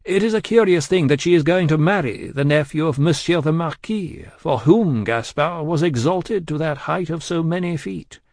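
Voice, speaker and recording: A man's voice: fairly high pitch, normal speed, few pauses, darkish tone, no room sound, studio quality, pitched above the performer's own voice. A man voicing a genteel English spy in an audiobook, polite and slyly insinuating.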